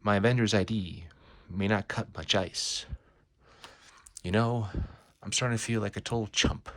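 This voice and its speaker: A man's voice: fairly low pitch, fairly even intonation, moderate pace, natural voice. Man reading as a wary wisecracking New York hero. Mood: wary, puzzled. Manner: muttering self-talk, colloquial exclamations. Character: wisecracking superhero from New York, wary, anxious, thinking aloud. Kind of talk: dramatized comic book reading.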